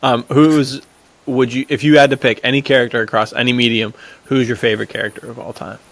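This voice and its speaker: Creaky-voiced man hosting a casual podcast. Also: mid-range voice, level tone, fast talker, a bit bright, poor audio. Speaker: podcast host. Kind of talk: casual chat.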